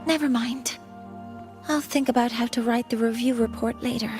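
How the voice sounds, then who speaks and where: A very high, precise voice carries this video game professor played by a woman. She is strict and composed, choosing technical words in a measured, formal manner. Clear music plays behind her matter-of-fact delivery. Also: steady tone, close to an everyday voice. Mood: calm, resigned. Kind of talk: scripted game dialogue.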